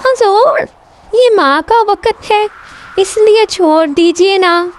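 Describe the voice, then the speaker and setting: A woman voicing a soft-hearted, outspoken talking horse who grumbles and pleads deferentially in a children's story. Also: very high-pitched, slow pace, voice pushed up. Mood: pleading.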